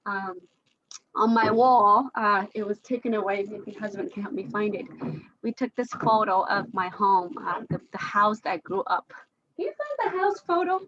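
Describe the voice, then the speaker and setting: A woman's voice: medium pitch, expressive, normal speed, a bit bright, slight background noise. Woman from china, english not her first language, lots of restarts and little laughs, muffled zoom audio. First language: Chinese. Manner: hesitant, many restarts and fillers, laughs often. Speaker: panel moderator. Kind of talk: casual video-call discussion. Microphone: video call.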